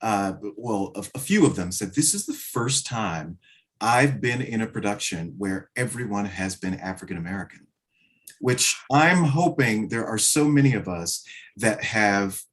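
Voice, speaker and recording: A man answering as an interview guest, speaking conversationally in reflective, measured phrases. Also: fairly low pitch, animated, fairly bright tone. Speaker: interview guest, play director.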